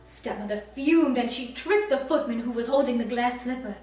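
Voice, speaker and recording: Sweet high-voiced lady doing princess storytime for little kids, super echoey, kinda far from the mic. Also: normal speed, a little mellow. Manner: sweet, encouraging, asks listeners to join in. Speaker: children's storytime performer reading in character as a princess. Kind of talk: expressive storybook read-aloud for young children. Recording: very echoey, distant mic, faint music.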